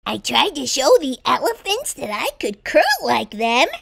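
A woman voicing a lonely young giraffe longing for friends in an earnest, childlike, expressive storybook style. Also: sits high, moderate pace, vocal fry, full character voice. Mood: plaintive. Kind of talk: children's storybook character reading.

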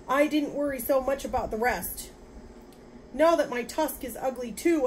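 Storybook rhinoceros, old male, irate and weepy, female performer, loud, raised pitch, noisy recording. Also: close to an everyday voice. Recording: clear background noise.

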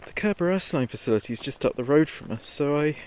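Male actor as frightened radio host, horror audio drama, slow halting speech, higher-than-average male voice, haunted, faint outdoor noise. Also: animated, darkish tone, lightly acted. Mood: anxious. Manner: halting, hesitant, haunted delivery. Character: shaken male late-night radio DJ, frightened, reporting from outdoors. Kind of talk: horror audio drama monologue as live radio field report. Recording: noisy outdoor field recording with ambience.

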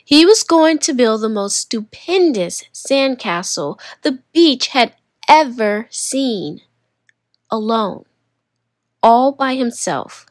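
Unhurried, animated woman reading a storybook. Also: on the high side, no room sound, clean studio sound. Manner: deliberate, dramatic emphasis on single words. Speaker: storybook narrator. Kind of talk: expressive children's read-aloud narration.

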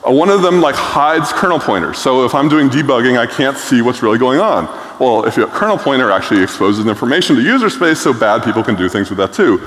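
A man's voice: medium pitch, fairly bright tone. Blunt middle-aged man in an echoey hall. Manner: blunt, enthusiastic, frequent "um" fillers. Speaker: keynote speaker. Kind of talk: conference keynote talk. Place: large conference stage.

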